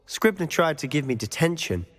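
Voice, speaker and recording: A man's voice: a little high, very animated, normal speed, a bit bright. A man playing a confident, secretive teenage wizard schoolboy in a game, self-assured and confiding. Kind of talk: scripted game dialogue. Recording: music under the voice.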